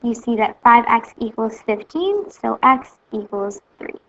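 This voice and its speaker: A woman teacher explains math in a recorded lesson. She speaks plainly and steadily, one step at a time. Her voice is a little high with noticeable vocal fry, and the recording sounds slightly muffled. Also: lively intonation, a little slow.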